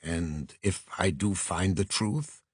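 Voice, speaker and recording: A man voicing a polite, deliberate private detective who speaks formally and precisely in an audiobook. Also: deep voice, husky, no echo, clean studio sound, heavily acted. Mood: courteous. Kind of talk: audiobook character voice.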